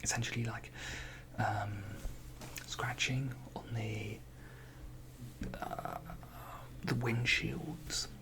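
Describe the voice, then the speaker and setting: A man whispering ASMR-style into a close microphone covered by a fluffy windshield, rambling softly with playful, self-mocking asides while he films himself on a phone. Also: on the low side, fairly even intonation, extremely slow, creaky, long pauses, very noisy. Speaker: ASMR creator.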